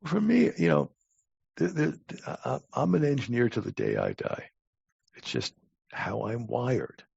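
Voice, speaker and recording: Older man with heavy gravelly creak. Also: mid-range voice, wildly swooping pitch, darkish tone, no room sound. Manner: emphatic, halting with repeated words, blunt. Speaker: podcast guest, talent acquisition advisor. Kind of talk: conversational interview answers. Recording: dull, muffled lo-fi audio.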